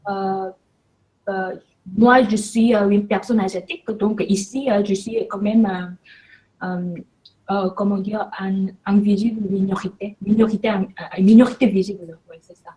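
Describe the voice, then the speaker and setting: A woman whose first language is Chinese speaks French with frequent pauses and fillers, and she keeps correcting herself mid-phrase. Her voice is mid-pitched. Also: steady tone, warm tone, low-quality recording. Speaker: immigrant. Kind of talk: casual video message.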